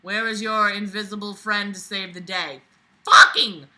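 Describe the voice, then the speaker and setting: Mid-pitched voice, very bright and forceful, sarcastic read-along commentary, exasperated, sweary, blunt. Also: fairly even intonation, measured pace, low-quality recording. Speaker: reader reacting to a book. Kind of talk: reading aloud with sarcastic running commentary.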